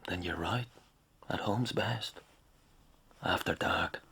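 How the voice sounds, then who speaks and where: An actor playing a grim, cool gang member in a radio drama, terse and defiant. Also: male voice, low voice, slow, vocal fry, sharp tone, breathy edge, exaggerated voice. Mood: grim, calculating. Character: grim male gang recruit, cool and ready to kill.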